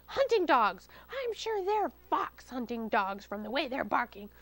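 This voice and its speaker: A woman's voice: high-pitched, big pitch swings, a little slow, a bit bright, dry recording, heavily acted. A woman voicing a plucky young female piglet in a children's story, bold, sassy and confident. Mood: cunning, firm.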